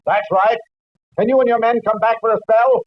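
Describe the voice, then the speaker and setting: A man playing a ranch boss in a 1940s radio drama, calling out loudly. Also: extremely high pitch, fairly even intonation, fairly quick. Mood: urgent. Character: male ranch boss hollering for help. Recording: muffled, echoing old radio recording.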